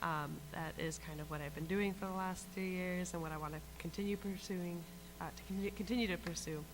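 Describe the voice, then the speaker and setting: A woman's voice: lowish voice, fairly even intonation, a little fast, noisy background. A woman designer getting over a cold, introducing herself a little nervously with a giggle. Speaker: designer and illustrator presenting. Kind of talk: casual self-introduction at a panel. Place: meetup event stage.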